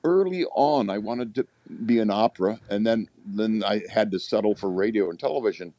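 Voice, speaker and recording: Older male co-host, opinionated, halting delivery, low voice, close dry sound. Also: mellow, dry recording. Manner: opinionated, halting with repeated restarts. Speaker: podcast co-host. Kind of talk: casual panel discussion.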